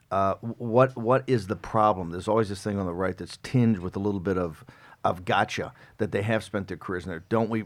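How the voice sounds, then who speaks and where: A man with a low, deep voice hosts a political talk show. He speaks emphatically, stumbling over restarts as he throws out one pointed question after another.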